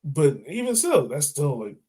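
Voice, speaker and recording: A man's voice: fairly high pitch, moderate pace, husky. Laid-back man hosting a soccer podcast. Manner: relaxed, loose, lots of fillers like "um" and "like". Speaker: podcast host. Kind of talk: casual soccer podcast chat.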